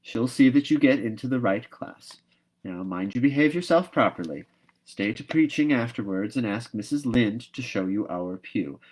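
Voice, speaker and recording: A man's voice: medium pitch, lively intonation, a little mellow, lightly acted, rough recording. Man reading as a stern, practical older woman. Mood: brisk, instructing. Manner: brisk, scolding, emphatic.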